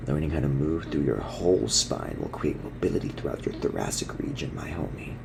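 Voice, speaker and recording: A man voicing a laid-back gorilla surfer dude who teaches in slang, in a gravelly, breathy half-whisper for an ASMR parody. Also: very deep voice, fairly quick, a little mellow, a little hiss or hum. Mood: laid-back, instructive. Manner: surfer slang, soothing instructional delivery.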